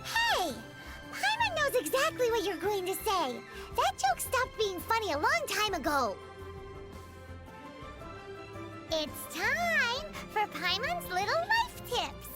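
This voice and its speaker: An extremely high, squeaky little fairy chirps through video game dialogue. The childlike companion is cheeky and emphatic, with very lively pitch swings, over clearly audible music. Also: female voice, a little slow, full character voice. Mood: indignant, emphatic. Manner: refers to self in third person, emphatic.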